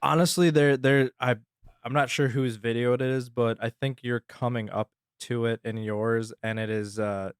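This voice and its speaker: A man's voice: medium pitch, fairly even intonation, moderate pace, smooth, no echo. Male co-host, clean resonant tone, casual chatty delivery, dry close recording. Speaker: podcast co-host. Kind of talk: casual podcast chat.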